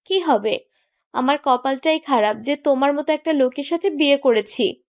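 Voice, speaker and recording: A woman's voice: high-pitched, normal speed. A woman playing a petulant, stubborn young bride in a romantic audio story, with a sulky, reproachful way of talking. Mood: hurt, sulky.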